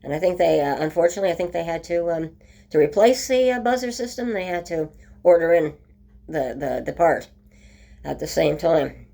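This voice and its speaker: A woman talking casually in a home video update, her voice rough from a cold, full of ums and restarts. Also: on the low side, lively intonation, moderate pace, mellow. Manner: chatty, many ums and restarts. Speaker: vlogger. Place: at home by a window.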